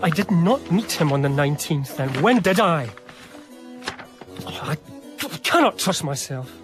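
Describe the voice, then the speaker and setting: An actor playing an eager, reverent young gentleman diarist in a radio drama, speaking formally and effusively. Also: on the high side, animated, a little slow, over music. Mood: despondent. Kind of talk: radio drama dialogue and diary narration.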